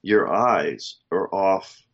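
An American man with a fairly low voice on slightly muffled audio. He offers casual political commentary as a co-host, deliberate and opinionated, leaning on rhetorical questions. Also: a bit bright. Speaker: talk show co-host.

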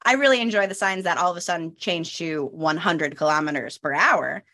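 A woman in her thirties hosts a relaxed podcast conversation. Her voice sits slightly low, and she talks in a chatty way, laughing easily and dropping self-deprecating asides. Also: fairly quick. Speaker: podcast host. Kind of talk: casual chat.

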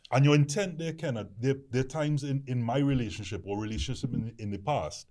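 A deep-voiced man in casual discussion, rambling with frequent restarts. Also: a little fast, warm tone. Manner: rambling, hesitant with many restarts. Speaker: discussion panelist.

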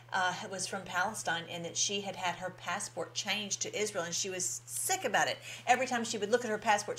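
A woman's voice: mid-range voice, a bit bright, a little hiss or hum. A woman hosting an informal political commentary show, talking to her followers in a folksy, emphatic way and repeating phrases for stress.

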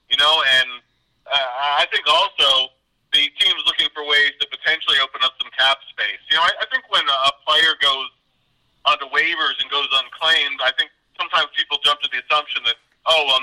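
A man's voice: on the high side, steady tone, moderate pace, bright, forceful tone, poor audio. A man who writes on sports, giving conversational analysis as a guest with hesitant, circling phrasing.

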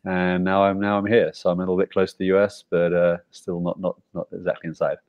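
A man's voice: low-pitched, monotone, quick pace, no echo, lo-fi sound. A British-born man, a startup founder, explaining his app eagerly on a video call and checking in with "right?". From Britain. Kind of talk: casual video call interview.

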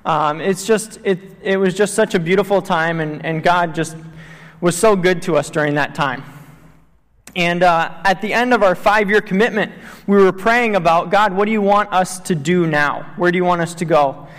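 High-voiced missionary man in a hall. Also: moderate pace, fairly bright tone. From Tanzania. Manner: earnest, with many fillers. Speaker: missionary speaking to a church. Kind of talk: church missions talk. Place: church sanctuary. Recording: long church hall reverb.

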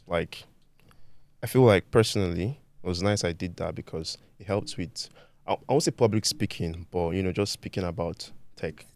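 A man's voice: sits low, normal speed, a little mellow. A man guest on a podcast, chatting casually and easily in a studio. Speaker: podcast guest, former host. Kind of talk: casual chat.

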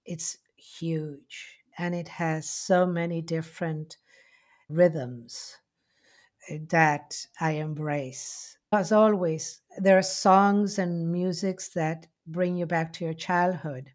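A middle-aged woman with an Argentine Spanish accent, telling her life story in a slow, heartfelt way with many pauses. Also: on the low side, steady tone, darkish tone. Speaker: interviewee. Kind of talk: reflective personal storytelling.

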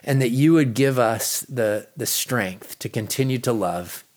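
A man with an American accent speaking as a pastor, reading a devotional in an earnest, deliberate way with pauses for emphasis. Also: medium pitch, darkish tone. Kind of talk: read devotional with earnest teaching and prayer.

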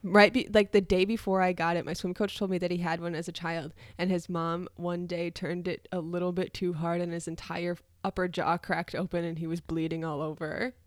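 A woman in her twenties co-hosting a podcast, telling self-deprecating stories in a lively casual chat. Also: lowish voice, a little fast, hardly any pauses, dry recording, studio quality. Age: 20s. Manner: animated, self-deprecating storyteller. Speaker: podcast co-host.

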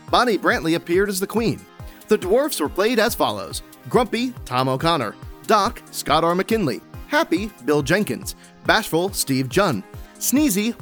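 A man's voice: fairly high pitch, fairly bright tone. A man working as an announcer, reading scripted closing credits.

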